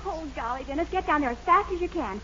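A woman's voice: high voice, animated, quick pace, sharp tone. An actress playing an eager, lovestruck, determined young sweetheart in a 1940s radio comedy, girlish and gushing. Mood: excited. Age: young adult. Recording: dull, noisy old radio recording.

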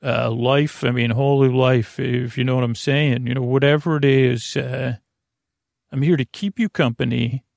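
A man with a creaky voice hosting a sleep podcast, speaking in a soothing, meandering, halting way full of digressions. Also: mid-range voice, a little mellow, no echo, clean studio sound. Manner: meandering, halting, digressive, stumbling over words; soothing, lulling. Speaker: podcast host. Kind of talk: rambling bedtime monologue to help listeners sleep.

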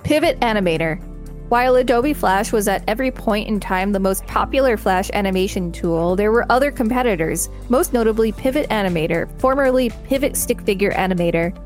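A woman's voice: medium pitch, lively intonation, a little fast, a little mellow, soft background music. A woman co-hosting a podcast, reading an encyclopedia article aloud and adding light commentary. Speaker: podcast co-host.

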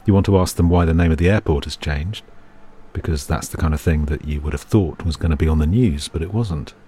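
A British man reads a second-person audio drama as narrator, in a measured, understated and uneasy storytelling manner. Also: rumbling low, mellow, a little hiss or hum. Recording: music bed behind the voice. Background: music.

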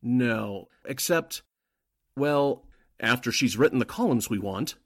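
A man's voice: mid-range voice, animated, slow pace, fairly bright tone, dry recording, clean studio sound, heavily acted. A man playing a suspicious, cautious Nazi henchman in a 1940s-style radio drama. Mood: wary.

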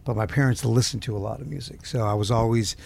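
A man's voice: on the low side, steady tone, quick pace, gravelly, darkish tone. A man from Southern California, a guest musician in a casual interview, rambling and searching for words. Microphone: handheld mic held close.

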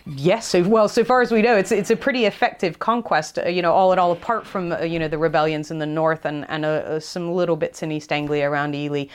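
A woman's voice: low voice, steady tone, quick pace, few pauses, smooth. A woman speaking as an academic guest in a conversational interview, explaining things in a hesitant, thinking-aloud way. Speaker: academic expert guest, archaeologist.

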